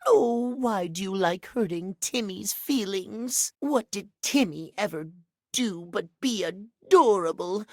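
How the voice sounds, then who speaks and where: A man's voice: high-pitched, takes it slowly, darkish tone, dry recording, clean studio sound. A man voicing a cute, needy, childlike cat creature in a video game, with babyish, whiny, pouty delivery. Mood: hurt, whiny. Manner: babyish third-person cutesy talk, whiny. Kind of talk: video game character voice acting.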